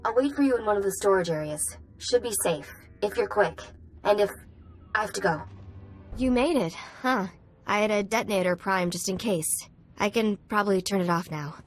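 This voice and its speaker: A woman's voice: medium pitch, moderate pace, faint music behind, close to an everyday voice. An actress playing a wary, wry young woman informant in a video game, with clipped, casual phrasing. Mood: businesslike. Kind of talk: video game cutscene acting.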